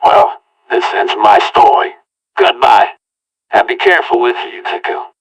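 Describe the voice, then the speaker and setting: A man's voice: medium pitch, animated, normal speed. A man telling a homemade audio-log story in the first person, with an earnest, rambling delivery. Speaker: narrator. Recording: voice far from the mic.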